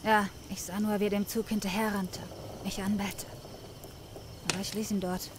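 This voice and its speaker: A woman's voice: medium pitch, level tone, moderate pace, noisy background, lightly acted. Rueful young drifter played by a woman, slangy, plain-spoken game character, crickets audible. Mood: regretful. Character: young female drifter, rueful, mourning her dog. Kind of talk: German fan dub of a video game. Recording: clear night insect ambience behind voice. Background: crickets and night ambience.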